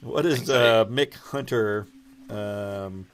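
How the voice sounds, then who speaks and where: A man acting as game master and host, improvising narration and explaining rules in a halting, thinking-aloud way. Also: on the low side, crowd noise.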